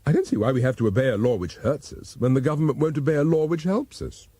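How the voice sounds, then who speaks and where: A man's voice: medium pitch, fast, dark tone, husky, dry recording, close to an everyday voice. A man voicing a naive, affectionate young wife in an audiobook, with a plaintive, girlish, emphatic manner. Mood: aggrieved.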